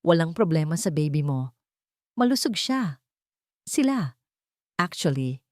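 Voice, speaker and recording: Woman voicing a kindly old female doctor, lively pitch. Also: unhurried, no room sound, studio quality. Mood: hesitant, reassuring. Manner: gentle, smiling delivery.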